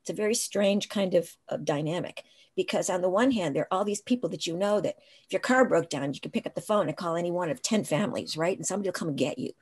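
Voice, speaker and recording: A woman's voice: lowish voice, fast talker, darkish tone, breathy edge. An older woman and writing professor, talking reflectively in a casual video-call interview from a room at home, with frequent restarts.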